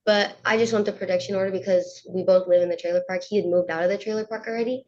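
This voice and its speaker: A woman's voice: mid-range voice, fairly even intonation, fast talker, a little mellow. A young woman testifying informally in a courtroom, speaking in plain, casual phrases. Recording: muffled, slightly noisy courtroom audio.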